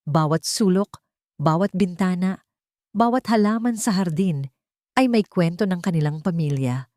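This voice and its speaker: Brief: woman storyteller, lower voice, dry close sound, measured and dramatic. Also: darkish tone, no room sound. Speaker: narrator. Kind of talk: scripted romance story narration.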